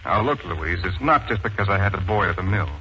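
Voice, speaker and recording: An actor in a 1940s radio drama playing an earnest, wounded husband with an intense, theatrical delivery. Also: male voice, low-pitched, animated, a little fast, slight background noise. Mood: frustrated. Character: earnest, wounded husband, son of mill owner. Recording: muffled 1940s radio recording.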